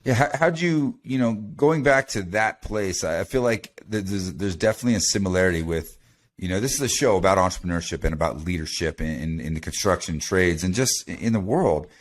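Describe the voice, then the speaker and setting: Chatty low-voiced podcast host man. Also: fairly quick. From United States. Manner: animated, chatty, lots of restarts. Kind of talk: casual interview talk.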